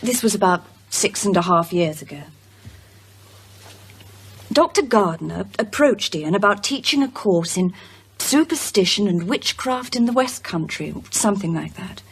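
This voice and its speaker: Composed widow, husky and lively-pitched. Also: female voice, measured pace, rough recording. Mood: measured, serious. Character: composed widow recounting a troubling past. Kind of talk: naturalistic radio drama acting.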